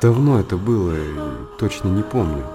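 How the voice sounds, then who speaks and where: A man's voice: lowish voice, expressive, normal speed, music in the background, natural voice. An actor playing a pensive old man who hesitantly recalls the distant past in a radio drama.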